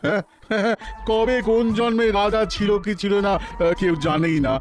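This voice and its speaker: A man's voice: sits high, moderate pace, faint music behind, lightly acted. A man voicing a scheming, boastful yet cowardly royal astrologer in a cartoon, with fussy, stammering speech. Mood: sly.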